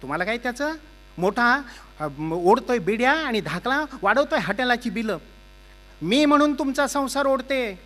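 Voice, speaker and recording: A man voicing a stout, sour-faced wife who scolds her husband in a shrill, accusing voice. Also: lively intonation, moderate pace, a bit bright. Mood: scolding.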